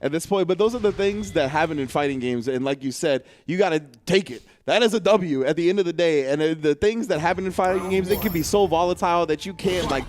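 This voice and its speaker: A man giving live color commentary on a fighting game match, measured and analytical with casual slang. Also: fairly high pitch, darkish tone, a little hiss or hum.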